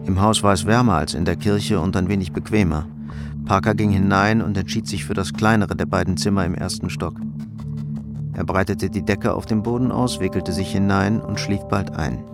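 A man's voice: low-pitched, normal speed, vocal fry. A man narrating a crime radio drama in a sober, scripted storytelling style. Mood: sober, suspenseful. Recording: studio radio drama under loud music. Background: loud music.

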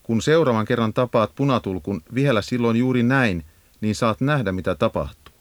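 A man reading scripted educational narration for a nature guide in a measured, instructive manner. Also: mid-range voice, no echo. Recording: 1980s audio cassette narration.

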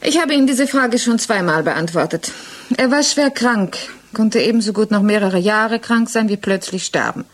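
A self-possessed widow played by a woman with a medium-pitched voice, answering directly and without apology. She is composed and defiantly devoted, in the acting style of a 1960s radio crime drama. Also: moderate pace, dry recording, lightly acted. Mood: self-possessed, unapologetic.

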